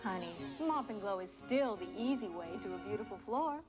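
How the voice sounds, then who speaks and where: A woman's voice: mid-range voice, animated, brisk, lightly acted. A woman playing a cheerful futuristic housewife in a scripted television advertisement for floor cleaner. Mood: cheerful selling. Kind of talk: scripted TV ad acting. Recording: music behind old TV commercial.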